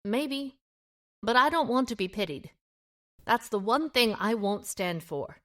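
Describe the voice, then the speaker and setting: A woman's voice: fairly high pitch, expressive, a little slow, fairly bright tone. A woman playing a guarded, independent small-town amateur sleuth in an audio drama, with a wry, reserved manner. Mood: wistful, guarded. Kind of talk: audio drama dialogue acting.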